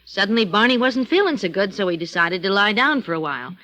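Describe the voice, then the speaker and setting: An actress playing a weary, matter-of-fact arcade worker in a 1950s radio drama. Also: female voice, mid-range voice, animated, fast, bright, forceful tone. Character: arcade woman, plainspoken and weary.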